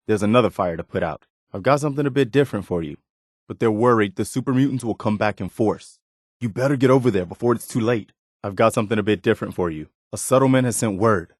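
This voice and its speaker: An actor playing an earnest, steadfast militia officer companion in a post-apocalyptic video game, speaking sincerely and plainly with a folksy, dutiful manner. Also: male voice, fairly low pitch, dry recording, studio quality, natural voice.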